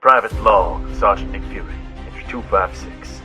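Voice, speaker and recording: A man's voice: on the low side, moderate pace, bright, forceful tone, lo-fi sound, soft background music. A man playing a brash army sergeant in a comic-book war audio drama, talking like a military log. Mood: clipped, reporting. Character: gruff, cocky male army sergeant reporting missions.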